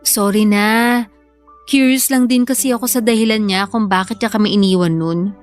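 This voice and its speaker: A woman playing a soft-hearted young single mother with a hesitant, apologetic manner in an audio drama. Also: medium pitch, steady tone, normal speed, faint music behind, lightly acted. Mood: unsure, defensive.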